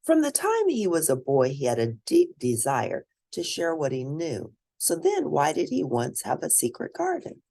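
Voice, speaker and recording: Very low-voiced woman reading a storybook. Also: wildly swooping pitch, normal speed, creaky, few pauses, dark tone. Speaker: host reading picture books aloud. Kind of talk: read-aloud children's storybook narration.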